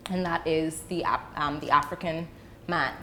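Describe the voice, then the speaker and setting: A middle-aged woman from the Bahamas and the UK, an educator giving explanations as an interview guest, choosing her words deliberately with pauses. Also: sits low, fairly even intonation, sharp tone, a little hiss or hum.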